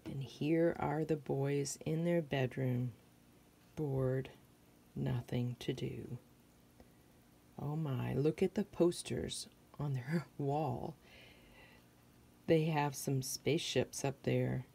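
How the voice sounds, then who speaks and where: Slow, low-voiced American woman reading to children. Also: fairly even intonation, vocal fry, mellow, husky. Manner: simple questions and observations addressed to children. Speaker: picture book reader for children. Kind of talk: read-aloud storytelling with asides to young listeners.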